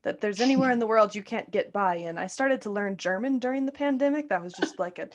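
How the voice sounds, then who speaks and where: A woman's voice: medium pitch, animated, fast talker. A woman from New Mexico hosting a casual interview, upbeat, enthusiastic and chatty. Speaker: host, coach.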